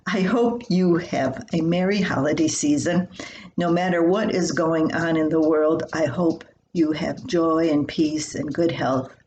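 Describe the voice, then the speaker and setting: An older woman reading her own humorous personal column aloud in a folksy, expressive storytelling way. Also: low-pitched, dull audio. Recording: roomy echo with faint hiss.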